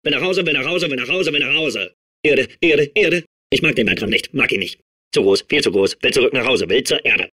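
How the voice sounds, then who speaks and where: A man playing a manic, childlike robot sphere obsessed with outer space in a video game, babbling and repeating himself in a strained, husky voice. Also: medium pitch, fairly quick, heavily acted. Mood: homesick, pleading. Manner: excitable, babbling, repeating words compulsively. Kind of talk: video game character voice clips.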